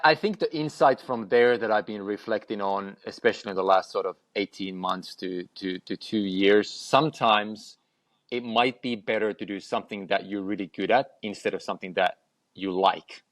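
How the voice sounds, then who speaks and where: Reflective man, low and dry. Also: normal speed, fairly bright tone, no room sound. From Finland. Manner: reflective, repeats words as he thinks. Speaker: guest, health and lifestyle coach. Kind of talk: conversational podcast interview.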